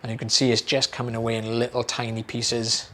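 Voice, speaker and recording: Slightly hoarse British man explaining calmly. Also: mid-range voice, a little fast, a little hiss or hum. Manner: relaxed, chatty, many fillers and repeated words. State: slightly hoarse, losing his voice. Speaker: audiologist. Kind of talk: explaining a procedure to camera.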